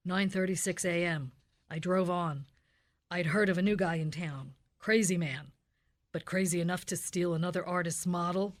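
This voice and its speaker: A woman as hard-boiled detective, lowish voice, deadpan, clipped, narrating, parody police radio drama. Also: normal speed, lightly acted. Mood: clipped, businesslike.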